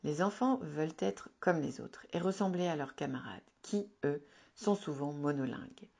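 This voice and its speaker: A woman narrating a written advice article in a calm, measured, instructive reading. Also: low-pitched, vocal fry, slightly rough. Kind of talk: scripted informative narration.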